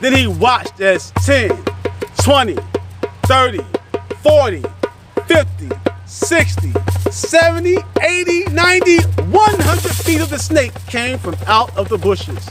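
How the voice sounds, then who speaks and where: A male storyteller with a very high voice tells a tale for children. His delivery is theatrical and singsong, a little way from the mic, with loud music underneath. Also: very animated, slow, distant mic. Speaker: storyteller, host.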